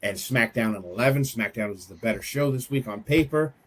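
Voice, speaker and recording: A man's voice: mid-range voice, brisk, a crowd in the background. A man co-hosting a wrestling podcast in casual chat, talking hesitantly and restarting often. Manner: hesitant, many fillers and restarts. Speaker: podcast co-host.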